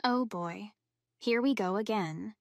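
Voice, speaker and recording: A woman's voice: mid-range voice, slow pace, vocal fry, very echoey, in a large hall, recorded from a distance. A woman playing a weary, put-upon villain's sidekick, muttering in resignation in a cartoon comic dub. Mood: resigned.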